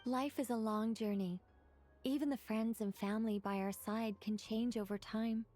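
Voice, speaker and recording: A woman's voice: fairly high pitch, steady tone, normal speed, dark tone, soft background music, natural voice. A woman voicing a knowing angel mage in a video game, chatty and explanatory while trying to stay solemn. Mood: calm, encouraging. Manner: explanatory, rambling, self-correcting.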